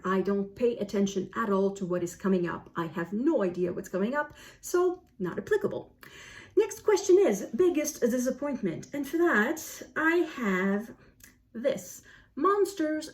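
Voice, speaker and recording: Woman chatting casually, hesitant and rephrasing. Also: on the high side. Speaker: book vlogger, host. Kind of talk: casual talk to camera, book review.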